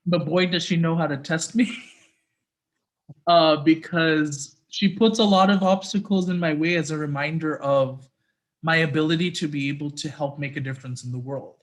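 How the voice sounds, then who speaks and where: A man with a fairly high voice speaks earnestly and reflectively, a guest speaker on a video call. His throat is sore, but he keeps an even, devotional tone as he explains ideas and answers questions. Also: moderate pace. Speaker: guest speaker, interfaith activist. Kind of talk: talk then answering audience questions.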